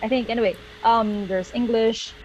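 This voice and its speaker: A woman's voice: fairly high pitch, steady tone, normal speed, a little mellow, highs cut off, a little hiss or hum. Filipino woman presenting on a video call. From Philippines. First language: Tagalog. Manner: friendly, checks in with "okay?" and many "uh" fillers. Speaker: guest speaker. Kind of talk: online presentation.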